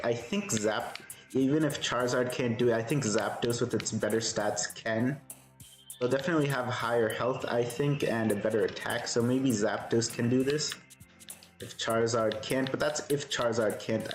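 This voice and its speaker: A man live-streaming a game, with a soothing voice, thinking aloud in a relaxed way and answering chat. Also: mid-range voice, echoey room.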